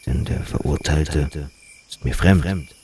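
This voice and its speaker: A scrupulous, troubled foreign observer, played by a man in a literary radio play. The voice is extremely deep. He weighs his thoughts in a measured monologue, with faint noise in the background. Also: darkish tone. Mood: pensive, troubled.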